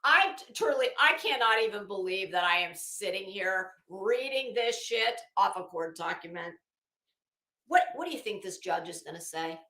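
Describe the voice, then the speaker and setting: A woman's voice: mid-range voice, expressive, normal speed, bright, forceful tone. A woman reading a document aloud with running commentary, sardonic and incredulous, with blunt, casual swearing. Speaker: host of commentary show. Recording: roomy, echoing sound.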